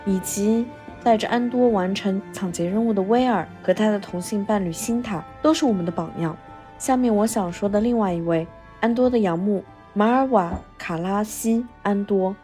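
A woman's voice: medium pitch, darkish tone. A woman hosting a podcast, reading scripted narration. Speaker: podcast host. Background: film score music.

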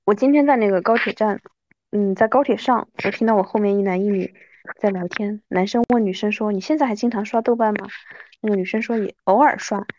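A woman's voice: medium pitch, brisk, a little mellow, muffled recording, slight background noise. A woman in her thirties chatting casually like with an old friend, in a playful, self-mocking way. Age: 30s. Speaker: podcast host.

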